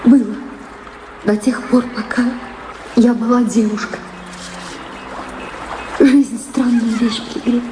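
A woman's voice: fairly high pitch, steady tone, dark tone, slight background noise. An actress playing a sorrowful but resolute woman in love, plaintive and earnest, in a theatrical radio play. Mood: resigned, sorrowful. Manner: earnest, plaintive, measured phrasing. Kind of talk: theatrical radio drama acting. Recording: roomy echo, music underneath. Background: music.

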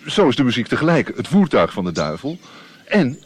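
An actor playing a wry, worldly court musician in a radio drama, speaking in a laconic, knowing manner. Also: male voice, medium pitch, brisk, breathy edge. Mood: dryly knowing. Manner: laconic, dry, expressive.

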